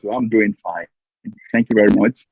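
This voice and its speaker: Middle aged man on a thin phone-like line, dry sound, halting careful interview answers. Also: lowish voice, vocal fry, no room sound. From Nigeria. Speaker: interview guest, diplomatic mission staffer. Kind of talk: interview answers over a remote link. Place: remote call into a studio. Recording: tinny phone-like remote call.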